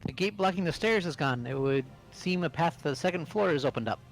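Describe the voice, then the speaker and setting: A man's voice: on the high side, expressive, fairly quick, soft background music, poor audio. A man voicing a pompous, self-admiring young student in a video game, speaking formally. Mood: self-satisfied. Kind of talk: amateur visual novel voice acting.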